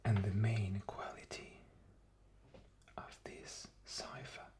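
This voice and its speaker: A man explains in a low ASMR whisper. He goes extremely slowly, in short, deliberate phrases with halting gaps between them. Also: flat delivery. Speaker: guest ASMR presenter.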